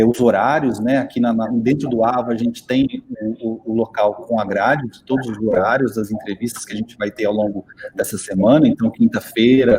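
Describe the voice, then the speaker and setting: Mellow-voiced man hosting an interview. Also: medium pitch, slight background noise, poor audio. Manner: hesitant, repeats words, many "né" and "é" fillers. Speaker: interview host. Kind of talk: hosting a virtual student talk. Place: virtual studio.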